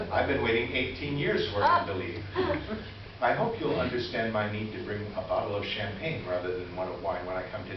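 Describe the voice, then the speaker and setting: A man's voice: medium pitch, highs cut off, lightly acted. A man playing a courtly, gleeful older store employee in a staged letter reading, with a genteel, theatrical delivery. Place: live stage presentation in a roomy venue. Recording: very echoey, distant, noisy live stage recording.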